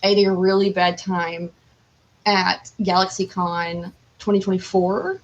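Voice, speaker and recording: A woman's voice: on the low side, a little slow, muffled recording. A woman chatting casually as an interview guest, with a candid, self-deprecating and talkative manner. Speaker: podcast guest, cosplayer.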